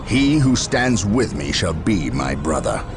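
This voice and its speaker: Noble space marine leader from a game, preachy heroic delivery, lively pitch, big music behind. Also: male voice, a little slow. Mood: proud, resolute. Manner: solemn, declamatory. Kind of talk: heroic video game acting. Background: loud music.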